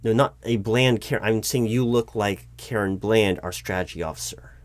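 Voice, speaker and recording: A man with a fairly low voice plays an awkwardly polite corporate hiring manager in an improvised audio roleplay. He talks hesitantly, stammering and doubling back on words as he addresses an unheard applicant, sounding flustered. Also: moderate pace. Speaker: roleplay performer playing a recruiting manager. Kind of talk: improvised one-sided job interview roleplay.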